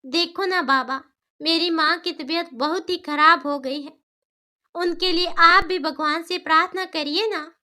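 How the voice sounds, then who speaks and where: A woman's voice: very high-pitched, measured pace, pushed and bright, heavily acted. A woman voicing a young woman doctor, an earnest and devoted daughter, in a cartoon story. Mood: worried, pleading. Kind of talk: cartoon moral story dialogue.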